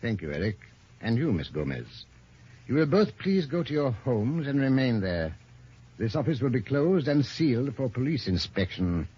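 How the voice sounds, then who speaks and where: An actor playing a shrewd, commanding police inspector in a radio detective drama, speaking in a measured, formal, authoritative way. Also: male voice, medium pitch, sing-song, warm tone, husky. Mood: brisk, commanding. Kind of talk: old-time radio detective drama acting. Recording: muffled old radio recording.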